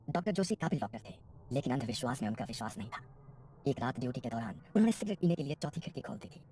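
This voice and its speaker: A woman's voice: very deep voice, warm tone, slight background noise. A woman narrating a scripted horror story as its storyteller.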